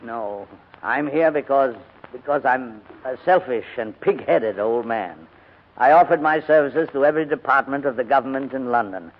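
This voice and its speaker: An actor playing a proud, cantankerous elderly English gentleman in a wordy, pompous 1940s radio drama style. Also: medium pitch, normal speed, lightly acted. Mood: ashamed, rueful. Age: older. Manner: pompous, wordy, indignant, hesitating. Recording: dull vintage radio broadcast recording.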